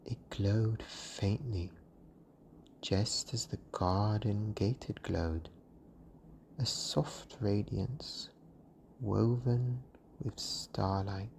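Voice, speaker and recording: A storyteller giving a slow, soothing bedtime narration in a gentle, hushed and breathy delivery. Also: low-pitched, steady tone, a little hiss or hum.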